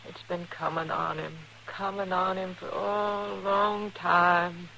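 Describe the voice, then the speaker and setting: An actress playing an older, weary and protective frontier mother in an old radio western, with a creaky rural American voice and plaintive delivery. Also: fairly low pitch, level tone, takes it slowly, bright, forceful tone, heavily acted. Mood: grieving, resigned. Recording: noisy vintage radio recording.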